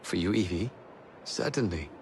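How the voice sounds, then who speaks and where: Polite earnest victorian gentleman, lowish voice, faint noise behind, video game scene. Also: lively intonation, moderate pace. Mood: devoted. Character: earnest, courteous, soft-mannered Victorian gentleman ally. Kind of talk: video game cutscene acting.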